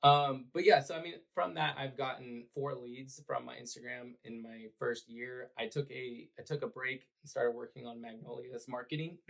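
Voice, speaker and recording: Hoarse, gravelly-voiced young realtor man. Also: medium pitch, moderate pace, few pauses, rough recording. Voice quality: raspy. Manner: earnest, relaxed, lots of fillers. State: hoarse from cheering at a game. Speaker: realtor and podcast guest. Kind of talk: conversational podcast interview.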